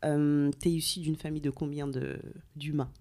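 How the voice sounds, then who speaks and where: A woman's voice: low voice, little pitch movement, normal speed, warm tone. A woman hosting an interview podcast, asking chatty, friendly follow-up questions. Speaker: podcast host. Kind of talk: casual interview chat.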